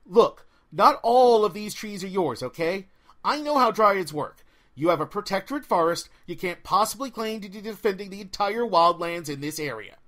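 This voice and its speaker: A man's voice: high-pitched, lively intonation, moderate pace, bright, forceful tone. A man voicing an exasperated, bossy young woman witch in an audiobook, emphatic and irritated. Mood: irritated, insistent. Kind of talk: audiobook character voice.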